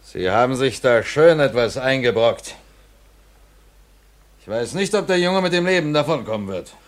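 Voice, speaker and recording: An actor playing a shrewd male doctor in a 1950s radio play, formal, measured and persuasive. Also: mid-range voice, expressive, lightly acted. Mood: stern, persuasive.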